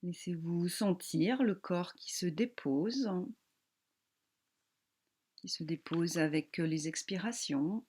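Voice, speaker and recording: Slow, calm woman guiding relaxation. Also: on the low side, slightly rough. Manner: calm, soothing, gentle instructions with long pauses. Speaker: yoga teacher. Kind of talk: guided relaxation meditation.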